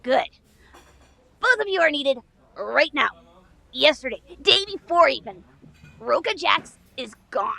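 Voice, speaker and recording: A woman playing a brash, hurried tech pixie in an audio drama, with a snappy, wisecracking delivery. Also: high-pitched, sing-song, vocal fry, pushed and bright, exaggerated voice. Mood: urgent.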